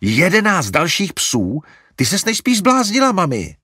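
A man's voice: on the high side, lively intonation, a bit bright, no room sound, clean studio sound, voice pushed up. A man voicing an exasperated young man ranting indignantly at his family.

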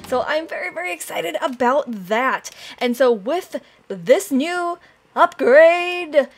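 A young woman hosting a Minecraft let's play, chatting excitedly to viewers while her voice is raspy from allergies and she keeps clearing her throat. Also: high voice, takes it slowly. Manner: chatty, excitable, addresses "you guys" often. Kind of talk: casual gameplay commentary.

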